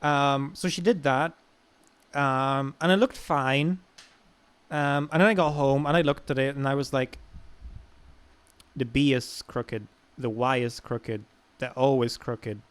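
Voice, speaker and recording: A man's voice: mid-range voice, fairly even intonation, a little slow, long pauses, clean tone. A young man with an Irish accent telling personal stories in a casual, informal, sweary chat as a podcast guest. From Ireland.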